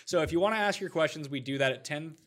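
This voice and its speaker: A man hosting a casual sports talk show, chatting in an animated, slangy and joking way. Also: on the high side, quick pace. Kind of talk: casual fantasy football talk show banter.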